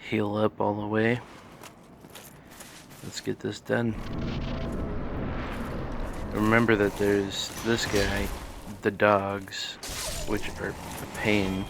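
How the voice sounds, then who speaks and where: A man hosting a casual let's play, talking in a monotone and rambling with many fillers. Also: on the low side, very slow, gravelly, pauses often, background noise. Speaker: gaming channel host.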